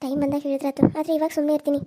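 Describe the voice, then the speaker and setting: A woman's voice: high voice, level tone, racing, dark tone, close to an everyday voice. A woman voicing a frightened young daughter-in-law who speaks in a tearful, pleading North Karnataka way. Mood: gentle. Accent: North Karnataka Kannada.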